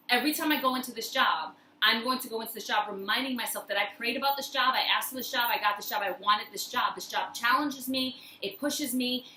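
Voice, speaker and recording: A woman with a fairly high, very bright voice gives a motivational talk as the host of her own video. She speaks emphatically, repeating key phrases to drive them home. The room adds a noticeable echo around her voice. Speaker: host of a personal-growth video series. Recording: roomy echo, faint background noise.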